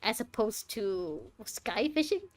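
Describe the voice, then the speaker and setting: A woman's voice: a little high, sing-song, slow pace, dry recording. A woman giving casual, teasing commentary on a game playthrough and sometimes reading the on-screen text aloud. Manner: playful, teasing. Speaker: streamer playing a game blind. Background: game music.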